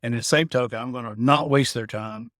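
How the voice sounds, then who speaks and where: A man's voice: mid-range voice, fairly quick, a bit bright, dry recording, clean studio sound. A middle-aged man, a veteran of his trade, explaining things in a casual conversation, often repeating words and asking "you know what I mean?". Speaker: guest, building automation integrator veteran.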